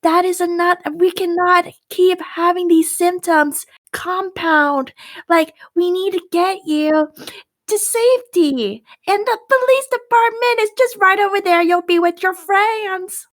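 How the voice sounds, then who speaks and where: A woman's voice: very high-pitched, a little slow. A woman voicing a motherly, bossy character in a tabletop game, polite but firm, speaking in a prim, insistent manner. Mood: firm, scolding.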